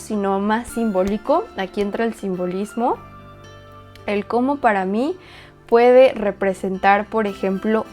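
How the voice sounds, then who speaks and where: A woman dancer and choreographer calmly walking through how she built a dance video, speaking in a measured, reflective way with pauses. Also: mid-range voice. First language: Spanish. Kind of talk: explanatory walkthrough.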